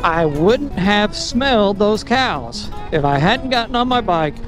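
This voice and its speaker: A man with a fairly high voice talks loosely while he rides a motorcycle, thinking aloud and chuckling at his own jokes. Music plays clearly behind him. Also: animated, a little slow. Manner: rambling, self-amused, thinking aloud. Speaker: motorcycle vlogger. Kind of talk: casual ride commentary. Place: on a motorcycle on mountain roads.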